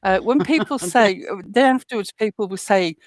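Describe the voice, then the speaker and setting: A woman with a Midlands English accent, defending herself in a panel argument, explaining her point at length in a measured way. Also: medium pitch, no echo. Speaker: panel guest. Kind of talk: heated panel discussion.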